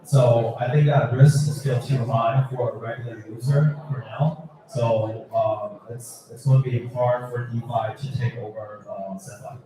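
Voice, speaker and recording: A man from Taiwan talks off the cuff on a panel, restarting often. His mid-pitched voice sounds distant in a very echoey hall, with people murmuring behind him. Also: fairly even intonation, a crowd in the background. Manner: halting, repeats words, frequent "you know". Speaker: panelist, crypto video creator. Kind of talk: unscripted panel discussion. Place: event hall panel with audience.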